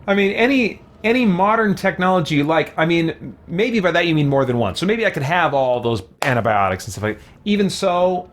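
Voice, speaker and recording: A man's voice: on the high side, expressive, fairly quick, few pauses. A man doing casual gaming commentary as a let's-play host, thinking aloud in a conversational way. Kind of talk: casual gaming commentary, answering viewer questions.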